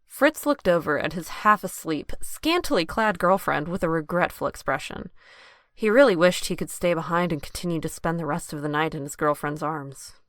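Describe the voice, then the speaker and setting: A woman's voice: low-pitched, moderate pace, no echo, studio quality. A woman narrating a scripted audio drama as its storyteller.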